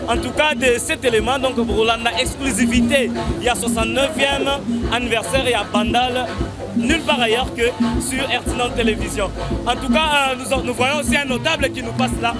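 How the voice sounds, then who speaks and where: Guy reporting live, really high voice, lots of euhs, loud music and crowd behind. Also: steady tone, brisk. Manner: filler-heavy, hesitant. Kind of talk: live event coverage.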